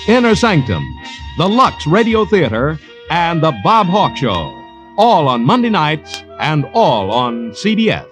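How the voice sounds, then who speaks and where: An American man working as an announcer, reading scripted credits and promos in vintage 1940s radio style. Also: on the high side. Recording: old radio broadcast with music.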